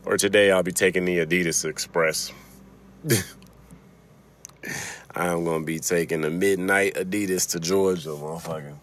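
A man's voice: deep voice, fairly even intonation, normal speed, pauses often, a bit bright. A man with a Chicago accent hosting a casual solo podcast from his car, rambling, swearing freely and laughing often. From Chicago, USA. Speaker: podcast host.

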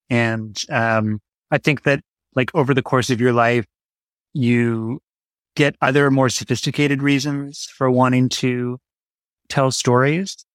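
A man's voice: mid-range voice, no room sound. A man speaking as an interview guest, a writer answering in a reflective, conversational way and searching for his words.

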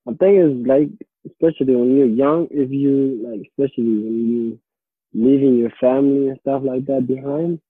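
Young man, interviewee, halting and casual, husky, heard over a phone line. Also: medium pitch, no echo. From Somalia. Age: late teens. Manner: halting, repeats words while searching for them. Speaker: interviewee, high school student. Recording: dry, tinny phone or call line.

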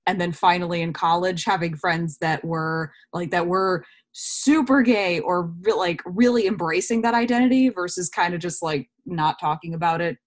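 A woman in her twenties with a slightly low voice speaks as a guest in a casual interview. She is chatty and reflective, working through her thoughts out loud as she goes. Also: normal speed, few pauses. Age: 20s. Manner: chatty and self-reflective, thinking aloud.

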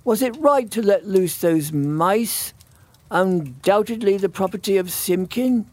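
A man voicing a poor old tailor in a storybook, anxious and lamenting, with mournful muttering and drawn-out pleas. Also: high-pitched, darkish tone, no room sound.

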